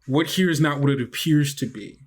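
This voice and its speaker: A man's voice: fairly high pitch, fairly quick. A man narrating a tabletop game as game master, with dramatic storytelling and casual asides. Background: faint music.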